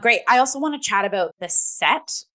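A woman's voice: a little high, lively intonation, fairly quick, bright, forceful tone. A woman co-hosting a casual theatre review, chatty and peppering her talk with "like". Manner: chatty, frequent "like" and hedging.

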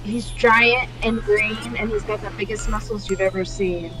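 A woman's voice: mid-range voice, fairly even intonation, normal speed, music in the background, low-quality recording. A woman co-hosting a podcast, chatting playfully and enthusiastically as a movie plays. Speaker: podcast co-host. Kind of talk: casual movie reaction chat.

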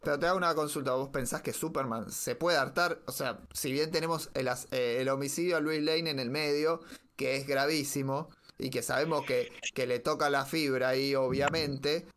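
A man's voice: medium pitch, moderate pace, fairly bright tone, slight background noise. A man with a Rioplatense Spanish accent debating casually as a podcast panelist, in a questioning, argumentative way. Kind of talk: casual comic-book debate.

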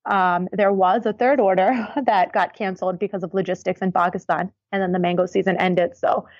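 A woman guest in a casual interview, chatting in a relaxed way with frequent ums, over a muffled remote line. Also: on the low side, fairly quick, no room sound.

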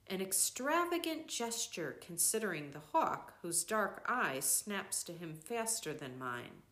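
An older woman giving a measured, expressive read-aloud of literary nature writing, as a scripted narration. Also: medium pitch, roomy sound. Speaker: reader of a nature devotional book.

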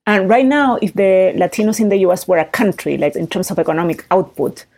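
A woman's voice: medium pitch, lively intonation, fairly quick, darkish tone. A woman in her thirties with a Chilean accent, a startup founder talking animatedly in an interview, full of fillers and rising tags. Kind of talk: interview conversation.

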